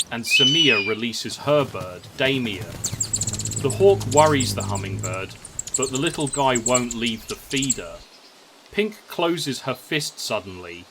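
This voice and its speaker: A man's voice: mid-range voice, background noise. A man narrating a scripted fantasy story in a dramatic storytelling manner.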